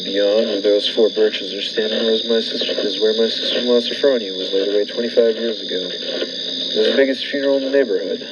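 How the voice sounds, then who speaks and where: A man's voice: lowish voice, steady tone, quick pace, natural voice. A man playing a menacing, deranged murderous husband in a 1940s-style radio thriller, folksy, insistent and coolly sinister. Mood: calmly sinister. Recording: dull lo-fi radio-play audio, clear noise.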